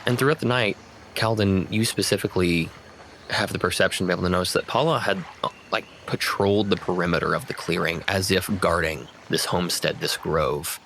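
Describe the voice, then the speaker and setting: A man's voice: low-pitched, fairly quick, creaky, fairly bright tone, slight background noise. A man acting as game master, narrating a tabletop roleplaying game in descriptive, conversational prose.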